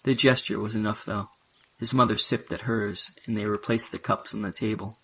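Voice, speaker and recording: A man's voice: lowish voice, steady tone, normal speed, pushed and bright, breathy edge. A man narrating a science fiction audio play in a scripted storytelling style.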